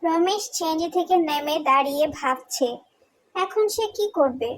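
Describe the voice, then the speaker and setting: A woman's voice: very high voice, moderate pace. A woman narrating a cartoon folk tale in a scripted storytelling style.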